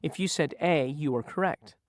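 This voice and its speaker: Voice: medium pitch, moderate pace, no room sound, clean studio sound. A narrator asking learners review questions about word meanings in a patient, teacherly way. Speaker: quiz reviewer in a vocabulary lesson. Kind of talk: slow scripted quiz questions for learners.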